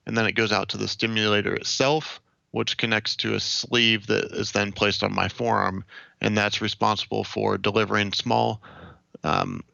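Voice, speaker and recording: A young American man from Ohio giving measured, explanatory answers as an interview guest. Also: fairly low pitch, flat delivery, vocal fry, sharp tone, no echo, dull audio. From Ohio, United States. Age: young adult.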